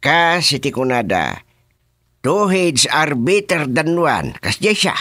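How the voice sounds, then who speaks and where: An actor playing a boastful king in a comic Ilocano radio drama, declaiming deliberately with an Ilocano accent. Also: on the high side, big pitch swings, fairly bright tone, no echo, studio quality, full character voice. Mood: explaining. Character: boastful fairy-tale king, father of a princess.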